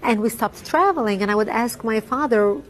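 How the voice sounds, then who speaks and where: A woman, giving a measured, earnest personal testimony with frequent ums. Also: on the high side, slight background noise, rough recording. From Lebanon. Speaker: interviewee.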